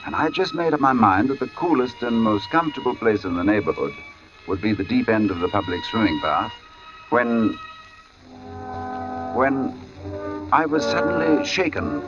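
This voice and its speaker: A man playing a haunted, brooding artist who narrates an uncanny tale with deliberate, halting, dramatic phrasing in a 1940s radio horror drama. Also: medium pitch, animated, lightly acted. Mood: calm, musing, then shaken, urgent. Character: haunted male artist recounting an uncanny experience, intense, brooding. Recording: dull vintage radio recording with music. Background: haunting dramatic music.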